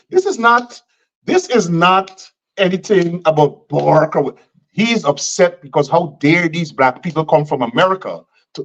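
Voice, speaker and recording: Indignant man, lo-fi sound. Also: high-pitched. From Guyana. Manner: indignant, repeats phrases for emphasis. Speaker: political commentator. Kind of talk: combative political commentary.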